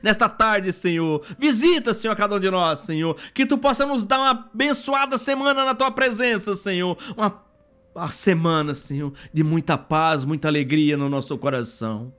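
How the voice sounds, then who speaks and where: High-pitched Brazilian man praying fervently. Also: moderate pace, sharp tone. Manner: fervent, pleading, repetitive invocations. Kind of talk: extemporaneous spoken prayer.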